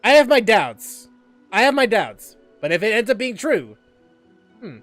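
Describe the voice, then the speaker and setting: A man giving casual, slangy game playthrough commentary as a streamer, expressive and prone to repeating himself. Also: sits high, unhurried, dry recording, faint music behind. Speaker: gaming streamer.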